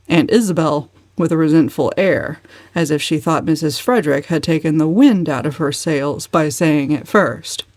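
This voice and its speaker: A woman narrates a novel in a slightly low voice. Her delivery is measured and expressive, in the manner of a dramatic reading. The recording is very dry, with no room sound. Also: dry recording. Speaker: audiobook narrator.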